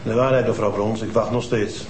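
An actor playing a stern police detective who questions suspects insistently. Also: male voice, on the low side, steady tone, fairly quick, background noise, natural voice. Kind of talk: TV crime drama acting. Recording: roomy TV soundtrack with music.

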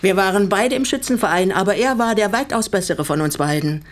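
A woman's voice: medium pitch, brisk, close to an everyday voice. An actress playing a resolute, bitter older woman in a German radio drama, speaking in a composed, pointed way. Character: resolute, bitter older widow receiving guests.

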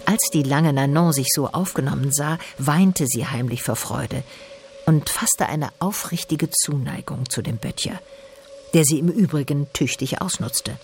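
Male storyteller, slightly high pitch, scripted literary narration, light background noise. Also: unhurried.

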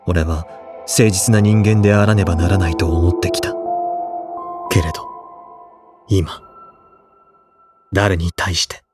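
An actor playing a serious, restrained and tormented high-school boy in a Japanese audio drama, speaking in a solemn, measured way. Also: fairly even intonation, over music. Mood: brooding, solemn. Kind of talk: Japanese audio drama acting, inner monologue and dialogue.

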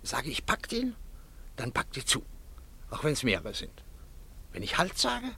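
A man's voice: mid-range voice, huge pitch swings, a little slow, creaky, pushed and bright, heavily acted. An earnest amateur detective in a radio play. His mid-range voice is matter-of-fact and insistent. He speaks with impatient authority. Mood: tense, commanding. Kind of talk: German radio play acting.

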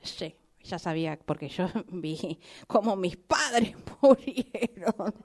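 A woman's voice: on the low side, animated, takes it slowly, darkish tone, heavily acted. A woman with an Argentine accent voicing a chatty, sentimental mother cockroach in improvised comic radio theatre, repeating herself coyly. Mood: plaintive. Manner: repetitive, coy, hesitant, conversational asides.

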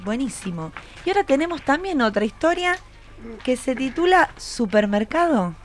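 A woman's voice: high-pitched, big pitch swings, moderate pace. An Argentine woman hosting a radio show, interviewing students conversationally. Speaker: radio host.

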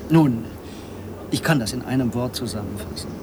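An actor playing an officious, evasive conference organizer with a wordy, self-important manner in a radio drama. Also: male voice, medium pitch, normal speed, natural voice. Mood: fussy, insistent. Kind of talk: German radio drama dialogue. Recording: clear background noise.

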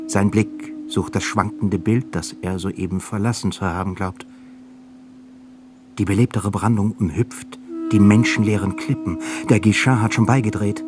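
A male narrator reads literary prose for a radio drama. His voice is low, measured and sober, with music playing clearly behind it.

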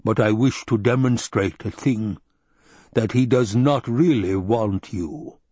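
A man voicing an obese, sinister male baron with a rumbling basso voice, slow and taunting, in an audiobook. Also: dark tone, breathy edge, dry recording. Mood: gloating. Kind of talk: audiobook character voice.

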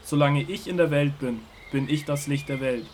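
An actor playing a calm, authoritative holy teacher in an audio drama, speaking with solemn assurance. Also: male voice, a little high, normal speed, slight background noise, close to an everyday voice. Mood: solemn, assured.

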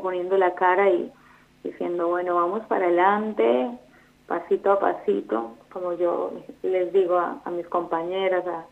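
A woman's voice: mid-range voice, gravelly. A young woman, a guest in an interview, speaking thoughtfully with many hesitations and restarts. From Colombia. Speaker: guest, foundation volunteer. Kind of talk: interview over the phone. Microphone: phone. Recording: tinny phone line.

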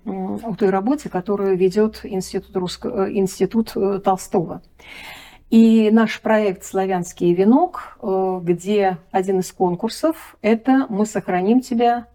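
A woman's voice: mid-range voice, fairly even intonation, a little slow, mellow. A woman on a radio discussion who presents a student project, speaking in a hesitant, thoughtful way with many fillers. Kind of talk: radio discussion, reading and retelling texts.

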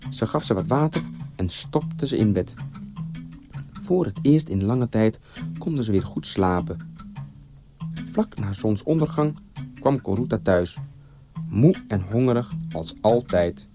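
A man narrating a scripted folktale as a storyteller, with expressive, theatrical delivery. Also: on the low side, unhurried, pauses often, music in the background.

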